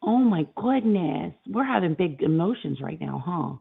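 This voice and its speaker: Female co-host, monotone and sarcastic, lowish voice with vocal fry, phone-quality audio. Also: unhurried. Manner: pointed, sarcastic questioning. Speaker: co-host on call. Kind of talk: live stream debate.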